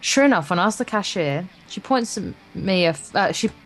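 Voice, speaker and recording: A woman's voice: lowish voice, lively intonation, a little fast, low-quality recording. A woman co-hosting a let's play, reading visual novel narration aloud, stumbling over words and adding wry, casual asides. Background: acoustic guitar game music.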